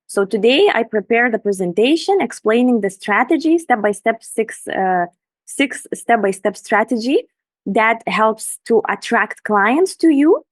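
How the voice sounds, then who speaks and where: A woman's voice: fairly high pitch, expressive, unhurried, no echo. Woman from lithuania, earnest presenter, dry sound, video call. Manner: enthusiastic, earnest. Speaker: Instagram business coach, guest. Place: room in Bali at night.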